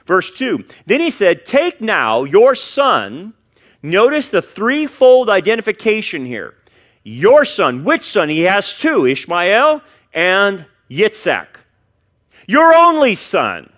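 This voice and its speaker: Higher-pitched American man preaching. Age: middle-aged. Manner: emphatic, swinging between forceful and hushed delivery. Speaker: pastor. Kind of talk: expository sermon with scripture reading. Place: church stage before a congregation, indoors.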